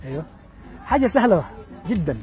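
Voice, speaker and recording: A man's voice: sits high, sing-song, fast, darkish tone, faint music behind, a faint crowd. A man with a Yemeni accent hosting a street quiz, coaxing people with teasing and laughter. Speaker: street interviewer, show host.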